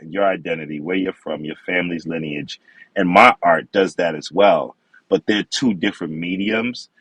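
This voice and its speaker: A man's voice: low voice, no echo, rough recording. A man from the Bronx, talking casually as a podcast guest. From the Bronx, New York. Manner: relaxed, conversational, slang-flavored. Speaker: podcast guest, wine expert. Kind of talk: casual interview talk.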